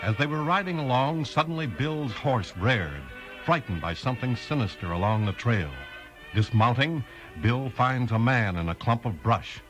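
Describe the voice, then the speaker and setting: A man's voice: medium pitch, lively intonation, normal speed, low-quality recording. A man with an American accent announcing and narrating a 1950s radio western drama. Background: dramatic music.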